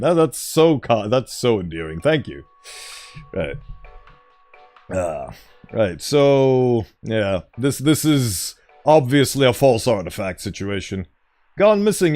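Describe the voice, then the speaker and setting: A man with a smooth voice streaming a game, chatting casually and playfully reading game text aloud in a mock-formal tone. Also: medium pitch, a little mellow.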